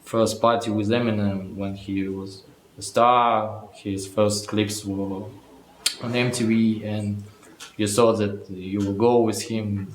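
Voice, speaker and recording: A man asks questions in a low, level voice that stays flat, pausing on fillers as he works out his phrasing. His voice carries the echo of the room. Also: a little hiss or hum. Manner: halting questions with fillers, non-native phrasing. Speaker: interviewer. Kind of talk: interview questions. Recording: echoey room.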